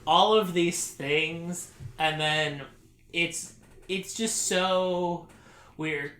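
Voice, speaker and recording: A man's voice: fairly high pitch, fairly even intonation, very slow, bright, forceful tone. A man hosting a casual group podcast, rambling and sarcastic, laughing often as he talks. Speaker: podcast host. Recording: echoey room, faint noise.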